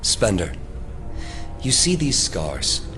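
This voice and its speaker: A coolly superior villain who leads a secret conspiracy. He talks slowly and deliberately in a low register, with wide swings of pitch for grand effect. Music plays beneath him in this audio drama. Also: wildly swooping pitch, heavily acted. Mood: weary, cold. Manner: measured, grandiose, deliberate speechmaking. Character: menacing mind-reading leader of a conspiracy, coolly superior.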